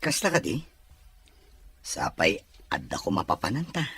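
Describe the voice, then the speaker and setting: An actor playing an evasive, cheating husband in an audio drama, sweet-talking and smooth. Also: male voice, medium pitch, very animated, a little slow, a bit bright, exaggerated voice. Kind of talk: Ilocano audio drama acting.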